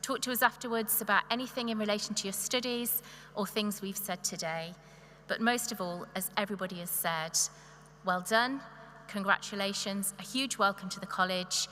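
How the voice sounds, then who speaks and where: A woman's voice: medium pitch, fairly even intonation, moderate pace. A woman from the university staff giving a formal, encouraging welcome address in a large hall. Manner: warm, encouraging, listing points. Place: large hall with long reverb. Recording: echoey hall, faint noise.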